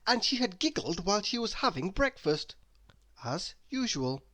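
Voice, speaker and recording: A woman reading a children's story aloud, expressive and deliberate, with dramatic emphasis. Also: mid-range voice. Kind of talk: children's read-aloud narration.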